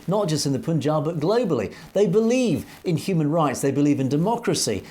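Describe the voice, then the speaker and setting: A man's voice: on the high side, a little mellow. Earnest man hosting a news commentary. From Europe. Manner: earnest, emphatic, rhetorical questions. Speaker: co-host of a news commentary show. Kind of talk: commentary and reading viewer comments.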